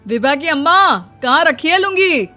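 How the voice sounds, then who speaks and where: Cartoon village father, voiced by a woman: middle-aged, exasperated, grumbling, high for the part, music behind. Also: lively intonation, moderate pace, sharp tone, dry recording. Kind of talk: Hindi animated comedy story acting.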